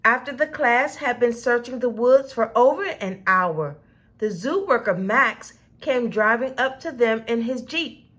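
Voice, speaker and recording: Slow, lively woman reading a children's story. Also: on the high side. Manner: expressive, deliberate storytelling. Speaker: storybook reader. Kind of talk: children's read-aloud narration.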